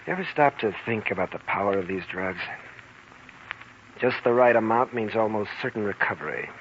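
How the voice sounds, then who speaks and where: An actor playing a bitter, brooding young hospital intern in a 1940s radio drama, on a muffled, hissy old recording. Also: male voice, fairly low pitch, expressive, a little fast, a bit bright. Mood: brooding, guarded. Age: young adult.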